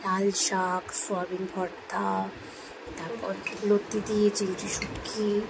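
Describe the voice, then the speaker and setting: A woman narrates a casual vlog with a noticeably creaky, fry-edged voice. She is chatty and playful, throwing in slang as she goes. The recording is clearly noisy, with ambience behind her. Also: medium pitch, flat delivery, slow pace. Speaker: vlogger.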